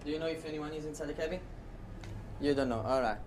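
A man on a ship's crew giving urgent, quick calls during a fire drill. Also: on the high side, background noise.

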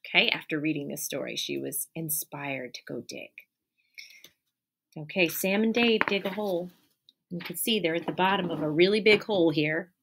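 A woman's voice: lowish voice, normal speed, creaky, fairly bright tone, a little hiss or hum. A woman in her thirties, an elementary teacher, reads a picture book aloud expressively, stressing key words and prompting young listeners with questions. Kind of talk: animated children's picture-book read-aloud with asides. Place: small indoor room by a window.

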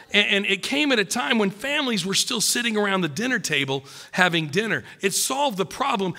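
A middle-aged man preaching at a pulpit, emphatic and joking, a little croaky from a cold. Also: sits high, pushed and bright, big-room sound. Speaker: pastor. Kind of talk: sermon. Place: church stage at a wooden pulpit. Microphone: podium mic.

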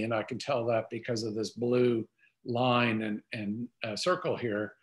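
A man's voice: mid-range voice, fairly bright tone. An older man giving an informal, explanatory webinar product demonstration over a video call. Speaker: presenter.

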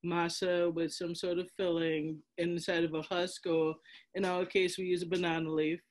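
A woman's voice: on the low side, flat delivery, moderate pace, rough recording. A woman from Trinidad with a Trinidadian accent, speaking casually on a video call panel about her family's dishes. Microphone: video call, camera off.

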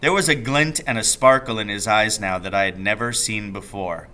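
A man's voice: lowish voice, moderate pace, pushed and bright. A middle-aged man reading a storybook aloud expressively, as a teacher would, at a desk indoors. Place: small office or classroom room indoors.

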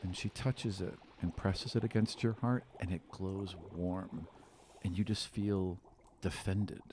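A man's voice: deep voice, steady tone, a little slow, mellow, a little hiss or hum. A man in his thirties improvising narration as game master of a tabletop game, close on a studio microphone. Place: small studio room indoors. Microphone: microphone on stand, close.

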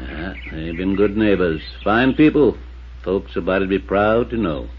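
Low-voiced, thrifty rural American farmer. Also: male voice, expressive. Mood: guarded, solemn. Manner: folksy, moralizing, measured. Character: thrifty, pious, guarded male New England farmer. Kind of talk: 1950s radio acting. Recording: dull, faintly noisy 1950s radio recording.

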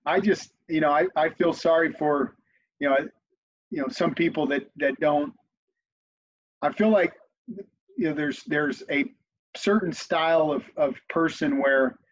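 Man on a dull, lo-fi video call. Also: a little high. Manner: reflective, repeats words while thinking. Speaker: company CEO, guest. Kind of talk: conversational interview. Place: home office on a video call. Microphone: video call webcam.

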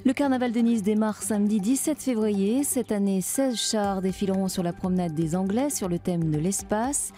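A woman news presenter reading scripted narration in a smooth, composed way. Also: medium pitch, normal speed, hardly any pauses, over music.

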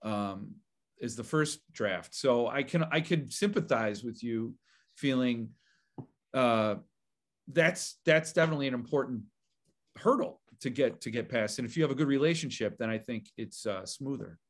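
A man hosting a book channel interview, enthusiastic and halting, full of fillers and restarts. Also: medium pitch. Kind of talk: casual interview conversation.

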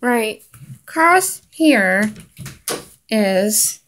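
A woman filming a tour of her house on a handheld phone as she walks from room to room. She talks haltingly, with many fillers, and names items one at a time. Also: medium pitch, expressive, fairly bright tone, a little hiss or hum. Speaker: vlogger giving a home tour. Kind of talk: casual home tour narration. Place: house rooms, indoors. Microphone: handheld phone camera, filming while walking.

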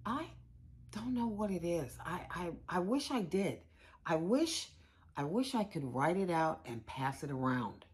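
A woman's voice: on the low side, very animated, a little slow, darkish tone. A woman giving a reflective personal narrative.

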